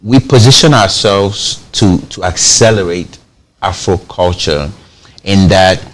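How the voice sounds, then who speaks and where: A man's voice: low-pitched, takes it slowly. A man from Cameroon, a company founder giving a TV interview, explaining at length and restarting phrases as he goes. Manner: explains at length, repeats words when starting phrases. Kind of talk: TV interview, conversational.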